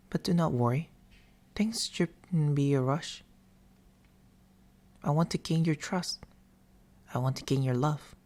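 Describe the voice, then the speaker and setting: Man voicing a slow, whispery sleep demon. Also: fairly high pitch, darkish tone. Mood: gently coaxing. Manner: slow, intimate, half-whispered, coaxing and theatrical. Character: eerie, quiet male sleep paralysis demon, coaxing and patient. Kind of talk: ASMR roleplay, slow storytelling. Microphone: close binaural microphone.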